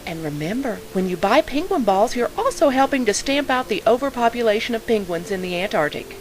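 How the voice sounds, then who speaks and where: A woman's voice: on the high side, big pitch swings, brisk. A woman announcer reading a scripted parody commercial on a hissy recording. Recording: clearly noisy, hissy track.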